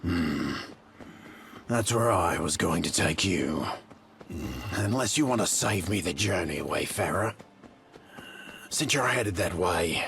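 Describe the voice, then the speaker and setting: A man voicing a grave, paternal wasteland agent in a video game, solemn and measured. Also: deep voice, expressive, gravelly, full character voice. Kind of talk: video game character dialogue.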